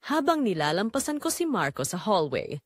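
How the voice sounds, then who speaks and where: A woman's voice: medium pitch, very animated, moderate pace, husky, dry recording, studio quality. A woman with a Filipino accent narrating a scripted first-person drama in an emotional, theatrical way.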